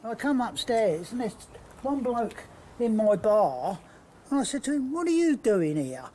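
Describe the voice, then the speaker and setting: A man's voice: very high-pitched, sing-song, unhurried, mellow. A man recounting an incident as a witness, often punctuating his phrases with a checking word. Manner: repeatedly punctuates with "right". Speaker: hotel owner reporting a break-in. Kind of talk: spontaneous eyewitness account.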